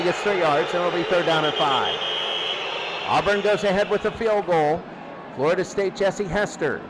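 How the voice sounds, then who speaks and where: A man doing excitable live football play-by-play in a radio style, with conversational asides between plays. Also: high voice, background noise. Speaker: play-by-play commentator of a simulated football tournament.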